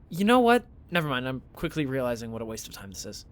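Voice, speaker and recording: An actor playing a sarcastic, exasperated young man in an audio drama, incredulous and halting, with sweary outbursts. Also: mid-range voice, very animated, close to an everyday voice. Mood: wary. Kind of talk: naturalistic audio drama dialogue.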